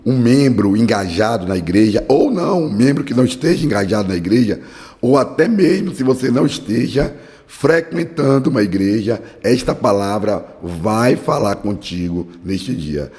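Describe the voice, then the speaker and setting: Hoarse low-voiced preacher. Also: male voice, mellow. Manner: earnest, fervent preaching cadence. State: hoarse from a cold. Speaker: Bible study podcast host. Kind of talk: devotional teaching with prayer. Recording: long hall reverb.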